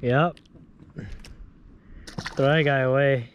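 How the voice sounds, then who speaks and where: A young man hosting a fishing vlog from a boat on a lake, excitable and chatty, often shouting when a fish bites. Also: mid-range voice, slow pace, muffled recording, a little hiss or hum. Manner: excitable, shouts on catches, says dude and bro a lot. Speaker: fishing channel host. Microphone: action camera worn on head.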